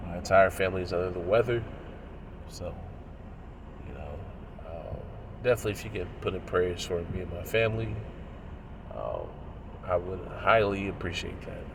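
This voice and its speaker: A man in his thirties with a cold, sounding nasal and congested, speaking in a measured, moralizing way as he comments on a video. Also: deep voice, lively intonation, gravelly, background noise. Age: 30s. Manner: measured, moralizing, pausing often. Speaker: religious commentator.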